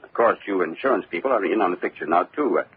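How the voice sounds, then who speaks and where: An actor playing a worried, glum middle-aged company boss in an old-time radio drama, speaking in an anxious, resigned way. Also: male voice, low voice, lively intonation, fast, husky, heavily acted. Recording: tinny old radio recording, phone-like band.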